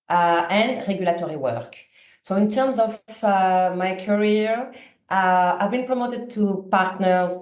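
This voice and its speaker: A woman's voice: fairly low pitch, a little mellow. French-accented woman in an echoey room. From France. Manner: hesitant, many fillers, careful phrasing. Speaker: guest, funds lawyer. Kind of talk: interview answers.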